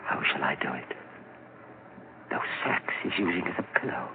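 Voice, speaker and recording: An actor playing a tormented, obsessive murderer in a hushed, halting 1940s radio horror style. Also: male voice, low voice, very animated. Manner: hushed, halting dramatic monologue. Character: tormented murderer whispering to himself, stealthy and obsessive. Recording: muffled, noisy 1940s radio recording.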